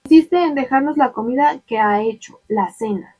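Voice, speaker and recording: A protective young male vampire speaks in urgent, clipped lines as he tries to keep control, read aloud by a woman in her own voice. The voice is husky and breathy and sits fairly high. It sounds like a storybook reading, not full acting. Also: moderate pace, darkish tone. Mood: tense.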